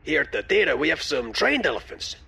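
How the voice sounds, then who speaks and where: An eager, persuasive theater hand acted by a man in an audio drama. The voice is raspy and gravelly, rattling along quickly with lively swings. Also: fairly high pitch, exaggerated voice. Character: eager, fast-talking male theater hand pitching ideas.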